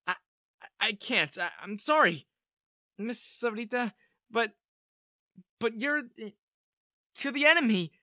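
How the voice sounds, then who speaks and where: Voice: medium pitch, lively intonation, slow, pauses often, bright, forceful tone, no room sound, clean studio sound. A narrator voicing a flustered, timid, reluctant ordinary character who stammers and hesitates in storybook dialogue. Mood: panicked, reluctant. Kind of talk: audiobook-style character dialogue.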